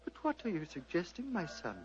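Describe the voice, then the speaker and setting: An actor playing a serene, fatherly old Tibetan abbot in a measured, formal radio drama style. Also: high voice, big pitch swings. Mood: calm, fatherly. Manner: measured, formal, gently paternal. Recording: muffled vintage radio, hum and hiss.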